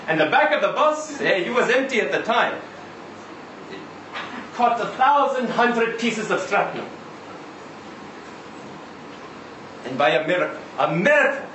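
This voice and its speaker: A man reading a short story aloud as a chatty, insistent Israeli taxi driver, halting and conversational, full of rhetorical questions and tags. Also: a little high, a bit bright. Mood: awed storytelling. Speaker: reader at a live literary event. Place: indoor live reading event. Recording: roomy live venue, distant mic, faint noise.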